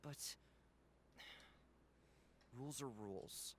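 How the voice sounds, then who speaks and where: A man's voice: medium pitch, extremely slow, slight background noise. A man voicing a solemn, regretful, dutiful young man in a video game. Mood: regretful, resigned.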